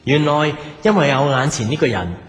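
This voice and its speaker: A man's voice: on the high side, animated, normal speed, lightly acted. A man with a Hong Kong Cantonese accent playing a wistful, struggling café owner who narrates a reflective, melancholy audio drama. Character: wistful struggling café owner recalling his lost wife. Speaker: lead actor and narrator. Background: music behind the voice.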